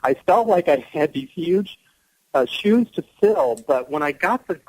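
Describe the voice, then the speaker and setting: A man's voice: high voice, lively intonation, measured pace, creaky, dry recording, rough recording. Middle-aged American man, effusive, dry close sound. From Chicago area, United States. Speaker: actor, radio show guest. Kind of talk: radio interview, anecdotal storytelling.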